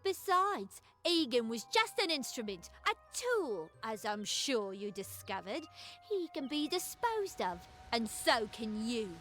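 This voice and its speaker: A woman's voice: fairly high pitch, sing-song, unhurried, a bit bright, soft background music. A woman voicing a sly female con artist and outlaw in a video game, mocking, smug and coolly menacing. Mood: cold menace. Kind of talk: video game villain acting.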